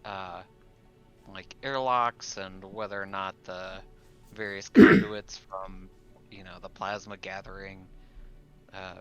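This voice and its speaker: A man playing a tabletop roleplaying game, describing his character casually in a hesitant, halting way. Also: sits low. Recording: music behind the voice. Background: background music.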